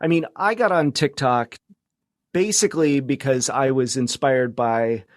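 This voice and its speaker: A man's voice: medium pitch, a little slow, dry recording, clean studio sound. A middle-aged American man chatting casually as a podcast guest, reflective and loosely rambling. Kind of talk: casual conversational interview chat.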